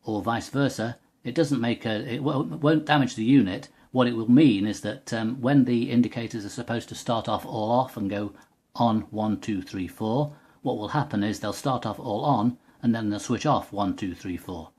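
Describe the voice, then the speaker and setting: A man's voice: mid-range voice, normal speed, darkish tone, breathy edge. A man giving an unscripted technical tutorial, explaining methodically step by step as he demonstrates a product.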